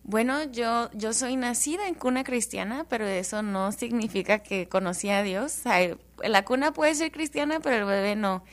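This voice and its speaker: High-voiced woman from El Paso, animated. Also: moderate pace, few pauses. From El Paso, Texas. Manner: animated, joking, warm testimony. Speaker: guest.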